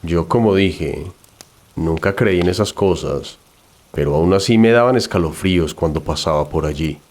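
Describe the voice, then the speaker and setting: A man with a low, deep voice tells a horror tale in the first person. He reads it as a scripted story, in the role of narrator. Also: moderate pace, a little mellow.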